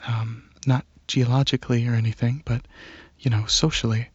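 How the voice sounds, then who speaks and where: A man voices a shy alien dragon, gentle and curious, in a soft ASMR roleplay. The delivery is hesitant and earnest, with a fairly flat melody and a husky, breathy grain. The recording is very dry and close, a little muffled. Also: medium pitch, dry recording, lightly acted. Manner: hesitant, halting, softly earnest.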